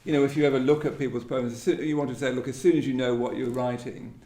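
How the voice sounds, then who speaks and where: A man's voice: medium pitch, steady tone, fast talker, mellow. A man hosting a literary discussion, speaking reflectively in tentative, thoughtful phrases that often end in a questioning tag. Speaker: host of a poetry event. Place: echoey indoor venue at a live event. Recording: roomy echo, faint background noise.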